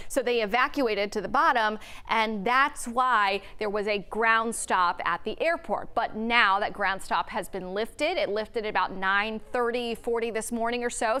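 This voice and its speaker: A woman's voice: on the high side, fairly bright tone, clean tone. A woman presenting a live weather broadcast, explaining things in a conversational, unscripted way.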